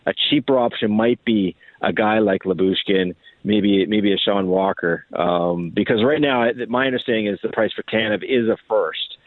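A man's voice: on the low side, normal speed, few pauses, no echo. A man giving conversational hockey analysis as a guest reporter, heard over a thin phone line.

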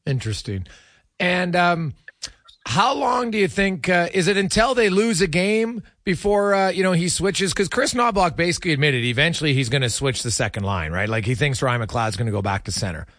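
Sports radio host guy, voice a bit high, super dry studio sound, breaking things down casually. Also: expressive, normal speed, fairly bright tone, no room sound. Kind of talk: casual sports talk, analytical commentary.

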